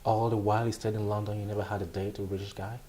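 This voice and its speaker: A woman's voice: rumbling low, flat delivery, rapid-fire, dark tone. A woman interviewing casually, probing and echoing answers back as questions.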